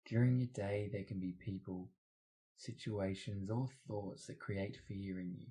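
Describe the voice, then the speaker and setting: A man's voice: lowish voice, fairly even intonation, a little slow, mellow, rough recording. A man narrating a scripted guided meditation in a soothing, instructional manner.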